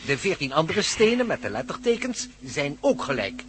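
An actor playing a careful, learned professor in a radio play, with deliberate scholarly phrasing. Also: male voice, a little high, big pitch swings. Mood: explanatory. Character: learned male professor, careful and excited by discovery. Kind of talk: radio drama acting. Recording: noisy old radio play recording.

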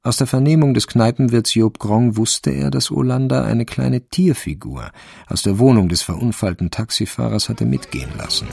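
An actor narrating a German radio crime drama as a wry storyteller, in a measured literary style. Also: male voice, medium pitch, expressive, gravelly, darkish tone, breathy edge, dry recording, faint music behind, full character voice. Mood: matter-of-fact.

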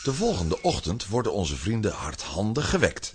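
A man narrating a children's adventure story from a script in a husky voice with dramatic delivery. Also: lowish voice, huge pitch swings, moderate pace, heavy background noise.

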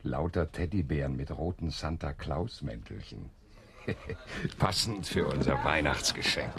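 An actor playing a hard, cynical militant henchman, gruff and sardonic, in a German radio play. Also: very deep voice, lively intonation, a little slow, a bit bright, heavily acted. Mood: sardonic, grumbling. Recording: 1970s radio play, clear noise.

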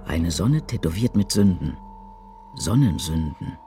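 A man reciting poetry in a measured, incantatory, deliberate way for a radio drama. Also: deep voice, big pitch swings. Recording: music behind the voice. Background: loud music.

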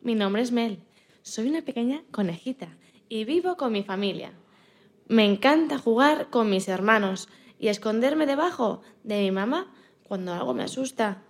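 Woman doing a scared little bunny girl for a kids radio story, high voice w some creak, naive and sweet. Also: lively intonation, normal speed. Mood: sweet, trusting. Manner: childlike, naive first-person storytelling. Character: frightened little female bunny, innocent and childlike. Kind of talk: radio play for a children's story.

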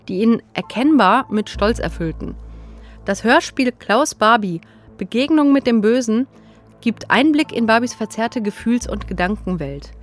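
A woman reading a scripted podcast introduction in a serious, factual way. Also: a little high. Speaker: podcast presenter.

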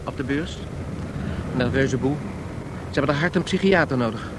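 A man's voice: mid-range voice, normal speed, lightly acted. A man playing a wry, cautious associate of a tycoon in a Dutch radio drama, familiar and dryly witty. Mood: wry, curious. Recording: noisy old radio drama recording.

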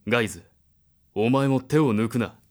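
A man's voice: mid-range voice, normal speed, lightly acted. An actor playing a contemptuous man in authority in an audio drama, with a mocking, commanding manner. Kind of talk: Japanese audio drama acting.

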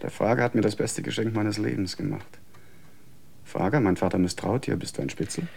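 A man's voice: lowish voice, brisk, creaky, darkish tone, slightly rough. An actor in a German radio drama playing a dreamy, stubborn young man, the defiant son of a family.

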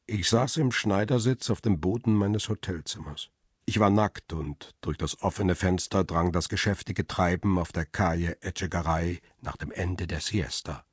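Deep-voiced man, husky, first-person crime radio play narration, calm and reflective. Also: normal speed, no room sound, studio quality. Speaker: narrator and protagonist, a paparazzo.

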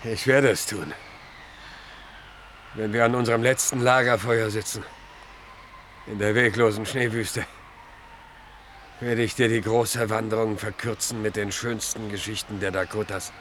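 An actor in a German radio play, playing a proud, weary older Native chief and father with a solemn, measured, declamatory delivery. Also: on the low side, level tone, a bit bright. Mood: weary, grave. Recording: clearly noisy old radio recording.

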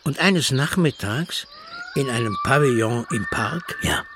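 A man's voice: mid-range voice, animated, unhurried, background noise. A man reading scripted literary prose as a narrator.

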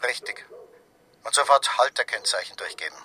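Casting: terse police dispatcher, piercingly sharp, mid-pitched, commanding, radio drama. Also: male voice, lively intonation, moderate pace, slight background noise. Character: clipped, businesslike male police radio dispatcher. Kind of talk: radio drama, procedural radio messages.

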